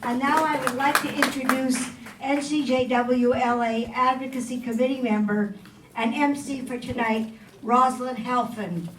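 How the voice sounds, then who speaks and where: A woman giving formal opening remarks at an event in a deliberate, measured way, reading out acknowledgements to an audience. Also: high-pitched, fairly even intonation. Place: indoor event room with an audience. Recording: echoey hall, distant mic, loud crowd noise.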